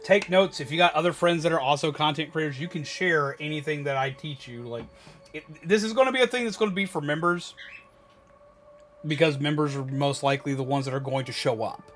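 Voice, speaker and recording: A man's voice: fairly high pitch, brisk, a bit bright. A man hosting a gaming livestream, chatting casually to viewers with rambling, emphatic repetition and some swearing. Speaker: gaming streamer and host. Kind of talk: casual livestream chat, giving advice to viewers.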